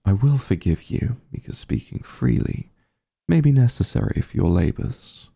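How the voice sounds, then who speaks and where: A man playing an arrogant, menacing sorcerer king in an ASMR roleplay, pompous and condescending, with deliberate formal diction. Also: mid-range voice, lively intonation, gravelly, warm tone. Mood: haughty, stern.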